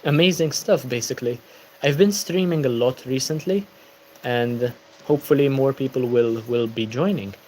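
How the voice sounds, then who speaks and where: A man's voice: mid-range voice, normal speed, darkish tone, a little hiss or hum, rough recording. Tired young guy on a livestream, chatty, answering comments, sounds worn out. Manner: tired, chatty, reads viewer comments aloud. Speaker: livestreaming book channel host. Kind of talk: casual livestream chat.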